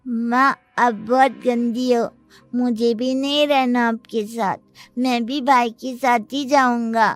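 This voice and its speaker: Lady doing a small cartoon boy, high voice, earnest, stubbornly loyal, faint music. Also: fairly even intonation, slow, no echo. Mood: defiant. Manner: earnest, defiant. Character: loyal, outspoken little boy, younger brother. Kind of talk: animated moral story dialogue.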